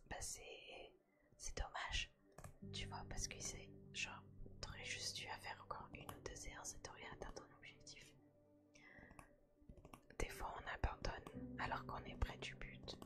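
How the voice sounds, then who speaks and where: A woman whispering a casual ASMR chat to her viewer, hushed, gentle and reassuring. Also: unhurried, pauses often. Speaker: ASMR video host.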